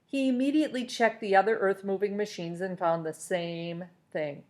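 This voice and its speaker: A woman reads a story aloud for young listeners at a medium pitch. She narrates in an expressive storytelling style, giving shape to each sentence. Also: normal speed. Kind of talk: expressive audiobook-style story reading for children.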